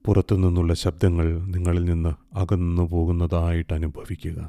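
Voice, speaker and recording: A man guiding a meditation in a calm, soft, hushed way. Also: deep voice, brisk. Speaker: meditation guide. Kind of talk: slow guided meditation. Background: soft music.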